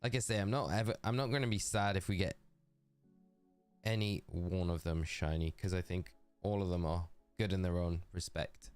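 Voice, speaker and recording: A man's voice: low-pitched, fairly even intonation, normal speed, smooth, faint music behind. A man with a Geordie accent chatting casually and rambling on a live game stream, talking to his chat.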